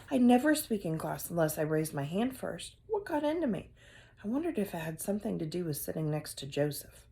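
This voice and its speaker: A woman teacher reading a chapter book aloud to her class. Her voice rises and falls with animated expression, sitting at a middle pitch.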